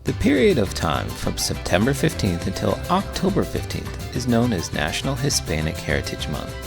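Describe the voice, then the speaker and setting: A man doing an expressive, scripted read-aloud of a children's book. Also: deep voice, moderate pace, vocal fry, over music. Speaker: teacher reading children's books aloud.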